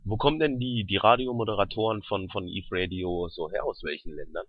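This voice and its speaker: A man from southern Germany hosting a podcast, asking his guest questions in a relaxed way. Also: low voice, brisk, no echo. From southern Germany near Salzburg. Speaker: podcast host. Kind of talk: casual podcast interview. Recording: dry, slightly dull sound.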